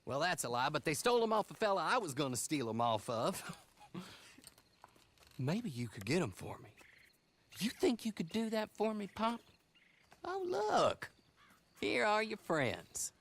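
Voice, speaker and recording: A roguish frontier horse thief in a game cutscene, cheerful and talkative. The voice is high and swoops widely, in an unhurried, folksy rural American drawl. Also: male voice, gravelly, full character voice. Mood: cheerful, teasing. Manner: drawling, chuckling, folksy, animated. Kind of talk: western video game cutscene acting.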